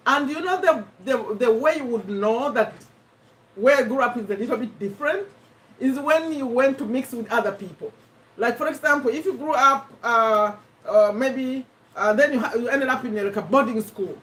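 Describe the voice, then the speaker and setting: High-voiced Ugandan woman, slightly distant mic. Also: fairly bright tone. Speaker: host of a live personal development discussion. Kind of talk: casual live stream chat with viewers. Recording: voice somewhat far from the mic.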